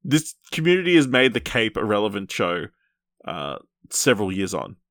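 A man's voice: on the low side, big pitch swings, normal speed, fairly bright tone, no room sound. A man co-hosting a podcast, chatting casually through film and TV news. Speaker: podcast co-host.

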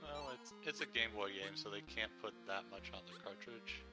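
A man co-hosting a podcast, chatting casually about video games, relaxed and chuckling as he thinks aloud. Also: fairly low pitch, moderate pace, loud music behind the voice. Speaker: podcast co-host.

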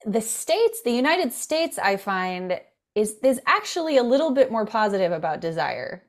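A woman's voice: a little high, sing-song, normal speed. A woman who grew up in France, chatting casually and informally as a podcast host, thinking aloud. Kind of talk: casual chat.

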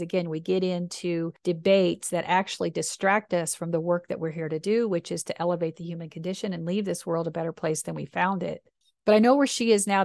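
A woman minister with a deep voice, speaking earnestly and reflectively in slow, deliberate phrases. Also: gravelly, dry recording, studio quality. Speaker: minister and podcast host. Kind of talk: reflective personal talk.

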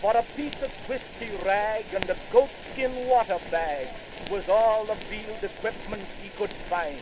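A man giving an old-fashioned theatrical poetry recitation, declamatory, his voice swooping sharply upward on shouted lines, heard on a crackly 1919 phonograph recording. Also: sits high, measured pace.

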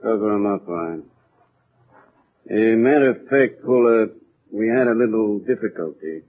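Sly, husky 1940s radio gangster. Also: male voice, fairly low pitch. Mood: wry. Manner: slangy tough-guy talk, drawn-out insinuations. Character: sly, menacing gangster grave robber, smugly insinuating. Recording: muffled lo-fi 1940s radio recording.

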